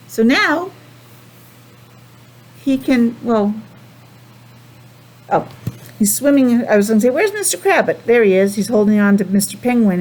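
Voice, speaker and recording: A woman teacher reading a picture book aloud to young children, with chatty asides and simple questions. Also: medium pitch. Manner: animated, addressing small children with simple questions.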